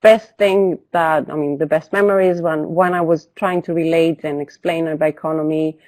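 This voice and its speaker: A woman's voice: sits low, fairly even intonation, normal speed, darkish tone, dry recording. A woman from Greece, a teacher, thoughtfully explaining her classroom experience step by step on a webinar video call. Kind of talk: webinar panel answer.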